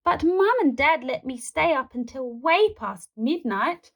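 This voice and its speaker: A defiant young boy, acted by a woman reading a picture book. He is cheeky and whiny, with a raised pitch and a protesting manner. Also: lively intonation, unhurried.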